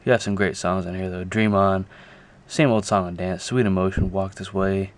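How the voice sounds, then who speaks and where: Low, flat-voiced man in casual show-and-tell. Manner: halting, thinking aloud as he goes. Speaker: video creator showing a music collection. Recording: clear background noise, amateur camera audio.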